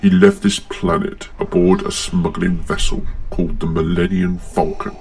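A man playing an imposing dark lord commander in a fan audio drama, cold, formal and menacing. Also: low voice, huge pitch swings, normal speed, gravelly, faint music behind, heavily acted. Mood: grave, commanding.

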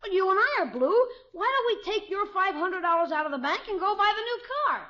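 A man's voice: very high-pitched, expressive, fairly quick, pushed and bright, heavily acted. A man acting an eager, cajoling young nephew in a 1950s radio sitcom, with a wheedling manner. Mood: eager, coaxing. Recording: dull old radio recording.

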